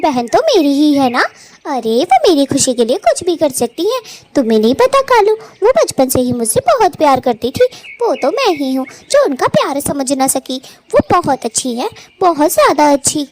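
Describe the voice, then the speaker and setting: Woman as a high, gushing young bird bride-to-be. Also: big pitch swings, moderate pace, a little mellow, a little hiss or hum. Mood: happy, fond. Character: happy, affectionate young bride-to-be girl bird. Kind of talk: cartoon story voice acting.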